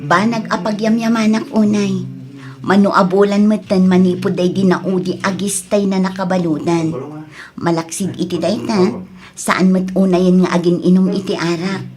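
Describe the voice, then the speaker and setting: A woman's voice: mid-range voice, flat delivery, normal speed, darkish tone, lightly acted. Woman acting a long-suffering young Ilocano wife. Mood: weary, subdued. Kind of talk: Ilocano radio drama acting.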